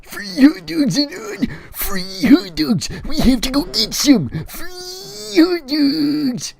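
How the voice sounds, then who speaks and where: A man voicing a loud, belligerent, fearless teenage boy in a comic story, shrill, strained and yelling profanely. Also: animated, very slow, creaky, exaggerated voice. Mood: excited yelling.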